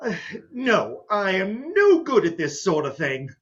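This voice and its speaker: A man's voice: high voice, wildly swooping pitch, a little slow, a bit bright, husky, highs cut off, exaggerated voice. A man playing a flustered, reluctant doctor who protests hesitantly in a stage farce. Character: flustered, reluctant mild male doctor, easily put upon. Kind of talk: stage farce readers theater acting.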